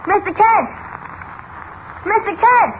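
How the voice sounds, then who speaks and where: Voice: very high voice, expressive, slow, exaggerated voice. An actor playing an eager, anxious, earnest teenage boy in a 1940s radio serial. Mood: shouting, alarmed. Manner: earnest, boyish, nervous. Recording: muffled, noisy old radio recording.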